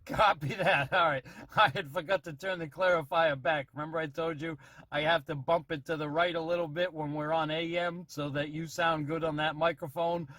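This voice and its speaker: A man's voice: a little high, steady tone, normal speed, pushed and bright, no room sound. A man giving a casual radio-testing demonstration as a hobbyist, talking in check-ins. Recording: noisy CB radio transmission at times.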